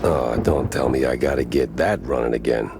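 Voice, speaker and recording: An actor playing a gruff, wisecracking mouse private eye in a noir cartoon game, with a gravelly, sardonic delivery. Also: male voice, a bass voice, normal speed, over loud music. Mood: dry, brooding.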